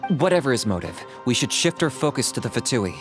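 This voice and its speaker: A man's voice: mid-range voice, very animated, a little fast, over music, natural voice. An actor playing a serious, loyal warrior aide in a video game, earnest and grave in his delivery. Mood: concerned. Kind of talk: dramatic video game voice acting.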